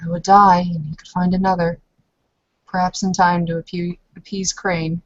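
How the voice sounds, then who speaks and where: A woman playing a defiant, bitter female character in a tabletop roleplaying game, with dramatic, deliberate phrasing. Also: on the low side, little pitch movement, dry recording, highs cut off, close to an everyday voice. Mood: bleak. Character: defiant, bitter woman refusing to bear a mutant child.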